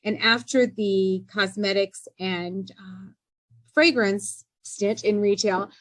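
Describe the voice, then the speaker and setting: A middle-aged woman speaks as an entrepreneur guest in a podcast interview. She is earnest and measured, with frequent fillers like "you know" and "um". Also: mid-range voice, steady tone.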